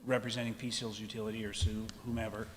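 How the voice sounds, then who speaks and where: A man chairing a council discussion, measured and procedural, careful when reading out figures. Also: on the low side, flat delivery. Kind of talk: formal meeting chairing. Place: council chamber. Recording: reverberant chamber with faint hum.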